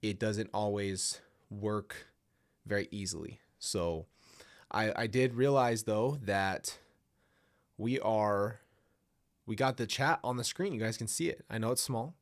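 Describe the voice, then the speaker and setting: A man's voice: fairly low pitch, unhurried. A man hosting a casual livestream, a little nasal from a stuffy nose, rambling and apologetic as he talks to his viewers. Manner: rambling, apologetic, restarts often. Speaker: livestream host reading viewer stories. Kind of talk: casual livestream chat and story reading.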